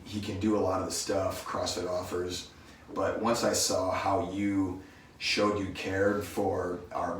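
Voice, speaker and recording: Low, even-voiced man in a reverberant room. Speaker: gym owner, podcast host. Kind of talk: casual chat. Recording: echoey room, distant mic, faint noise.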